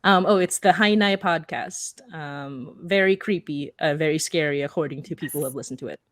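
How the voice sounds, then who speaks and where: A woman's voice: deep voice, brisk, no room sound, studio quality. A young woman speaking casually as a panelist, explaining things with little acted-out asides. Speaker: podcast creator and panelist.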